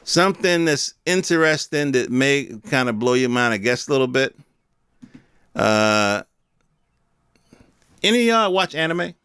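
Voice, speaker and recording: A man speaks at an average pitch with a smooth, resonant tone, recorded dry and close. He hosts in a loose, unscripted rant style, using street slang and the odd swear word. He often puts questions straight to his listeners. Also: lively intonation, measured pace, pauses often, bright, forceful tone, no echo. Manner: rhetorical questions addressed to listeners. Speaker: podcast host. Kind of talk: casual unscripted opinion rant.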